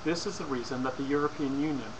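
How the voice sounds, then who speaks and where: A man reading a scripted persuasive lecture in a formal, deliberate way, occasionally stumbling over words. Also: mid-range voice. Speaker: presenter. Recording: clearly noisy amateur recording.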